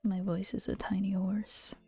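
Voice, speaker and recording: A woman streamer chatting casually in a soft, gentle, often half-whispered voice, with playful teasing and giggles, speaking close to the mic. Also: medium pitch, little pitch movement, a little slow, husky, faint music behind. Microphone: close to a regular mic.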